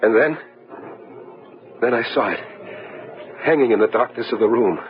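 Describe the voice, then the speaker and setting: An actor playing a haunted, overwrought widower in an old-fashioned gothic radio drama, speaking haltingly and repeating himself. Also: male voice, medium pitch, natural voice. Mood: haunted, horrified. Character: haunted, nerve-shattered widower in gothic horror. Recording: muffled radio drama recording, music behind.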